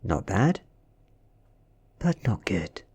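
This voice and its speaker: A British man reading as an easygoing, friendly teenage schoolboy in an audiobook, with a casual, quick delivery. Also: low-pitched, sing-song, a little mellow, slightly rough, heavily acted. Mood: amused. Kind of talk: soft-spoken audiobook character reading.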